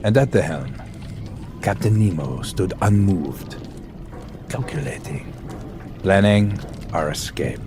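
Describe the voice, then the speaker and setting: A man playing a grave, thoughtful professor in an audio drama, measured and literary as he narrates his undersea ordeal. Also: low voice, expressive, background noise. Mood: tense, grave. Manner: measured, solemn, literary delivery. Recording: sea and vessel ambience behind.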